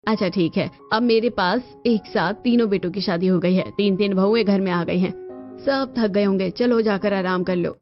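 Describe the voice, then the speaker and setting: A woman voicing a calm, practical mother-in-law in a cartoon family story. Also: mid-range voice, moderate pace, close to an everyday voice. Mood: reassuring. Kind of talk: Hindi cartoon story voice acting. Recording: dull lo-fi audio over music.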